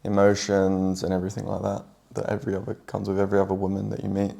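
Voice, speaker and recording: An English man talking casually with his partner, relaxed and hesitant, with many fillers. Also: sits low, fast, gravelly. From England. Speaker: guest partner. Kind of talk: casual chat.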